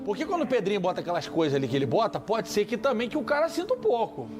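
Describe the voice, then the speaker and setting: A man's voice: sits high, wildly swooping pitch, rapid-fire. A man with a Brazilian Portuguese accent hosting a casual football debate, joking and teasing his panel in slang. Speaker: show host.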